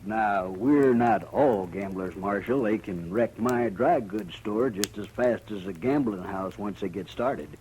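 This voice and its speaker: Low-voiced, worried frontier merchant played by a man. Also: unhurried, hardly any pauses, darkish tone, natural voice. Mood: worried, insistent. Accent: American. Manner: earnest, hesitant, insistent. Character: worried, insistent frontier dry goods merchant. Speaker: actor in a radio western. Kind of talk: 1950s radio drama acting. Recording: noisy old 1950s radio recording.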